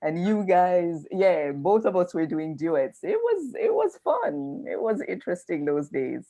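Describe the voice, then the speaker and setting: A woman hosting a live video-call interview, laughing freely and talking at an unhurried pace. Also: fairly low pitch, very animated, dark tone. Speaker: interviewer and host.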